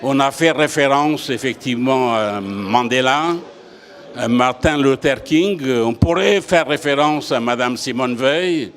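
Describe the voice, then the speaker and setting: An older man from Portugal, president of an association, speaking in a measured, deliberate interview. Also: mid-range voice, a bit bright. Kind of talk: interview statement.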